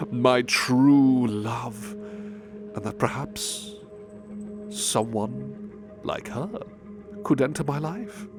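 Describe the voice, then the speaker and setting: Prominent music plays under an unhurried, aristocratic serial killer in a horror audio drama. He confesses with gloating, theatrical relish, lingering on words and sinking toward a breathy hush. His pitch sits in a middle male register. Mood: smug storytelling. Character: refined, sadistic serial-killer nobleman confessing his crimes.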